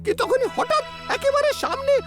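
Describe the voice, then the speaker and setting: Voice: very high-pitched, lively intonation, normal speed. A performer voicing a boastful, easily flustered cartoon mayor who whines and hisses in exasperation. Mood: flustered, whining. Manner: whining, boastful, starts lines with an exasperated hiss. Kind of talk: exaggerated cartoon dubbing. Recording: loud music under the voice.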